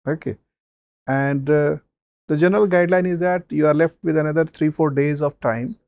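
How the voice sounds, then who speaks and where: A man, a mock interview panelist giving measured advice with many pauses and fillers. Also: on the high side, steady tone, a little mellow, no echo, dull audio.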